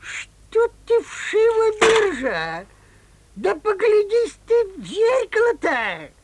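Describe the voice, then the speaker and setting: High-pitched, blustering cartoon tsar. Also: male voice, wildly swooping pitch, slow pace, background noise, full character voice. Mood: angry blustering. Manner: theatrical, folksy, swinging from pleading to scolding. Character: blustering, whiny old-fashioned Russian tsar, comic cartoon ruler. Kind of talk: comic fairy-tale audio play acting.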